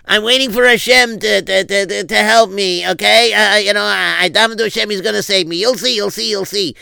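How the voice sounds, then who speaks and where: A man plays a stubborn pious man stuck on a roof in a spoken story, refusing help with stammered repeats. His high voice is bright and clear, with a gravelly edge. The sound is dry. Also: steady tone, brisk, no echo, full character voice.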